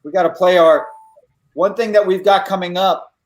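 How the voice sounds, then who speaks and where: A man's voice: high voice, steady tone, normal speed. A man co-hosting a panel show, speaking in a loose, rambling way with many restarts. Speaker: show co-host. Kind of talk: casual panel chat.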